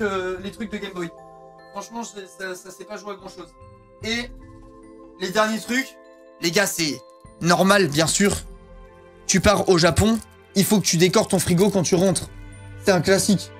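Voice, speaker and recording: A man's voice: sits high, a bit bright. A man in his thirties chatting casually as a streamer, rambling with plenty of fillers and crude slang. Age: 30s. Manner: rambling and slangy, swears freely, many fillers. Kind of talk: casual chat showing off purchases.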